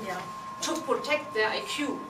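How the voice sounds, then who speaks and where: A woman's voice: fairly high pitch, expressive, normal speed. German-accented woman giving a comic speech. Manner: playful, teasing, non-native phrasing. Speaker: contest speaker. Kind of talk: humorous contest speech. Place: meeting hall with audience. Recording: echoey hall from far off, noisy.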